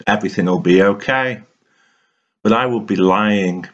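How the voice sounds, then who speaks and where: A man giving a dramatic, motivational spoken-word reading in an earnest, emphatic, deliberate way. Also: lowish voice. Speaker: reader of a written monologue. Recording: dull, lo-fi recording.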